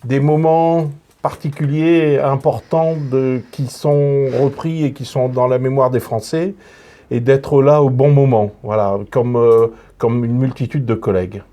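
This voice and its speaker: A man from northern France, a guest on a radio interview, telling his story slowly with many restarts and repeated words. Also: mid-range voice, steady tone, warm tone. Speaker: guest, retired police officer.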